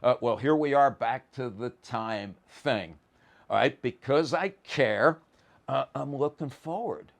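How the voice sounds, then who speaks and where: A man giving an informal philosophy lecture in a deliberate, halting manner, leaning on key words. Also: mid-range voice, creaky.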